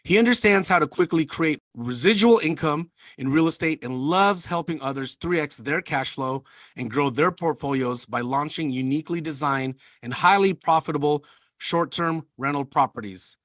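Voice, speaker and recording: A man co-hosting a podcast, talking in an energetic, casual way full of "man" and "like". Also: on the high side, normal speed, no echo. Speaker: podcast co-host. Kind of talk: casual interview chat.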